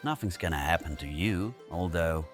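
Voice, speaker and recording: An actor playing a devoted, earnest young son of a crime boss in an animated comic. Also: male voice, deep voice, moderate pace, soft background music. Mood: anxious, earnest.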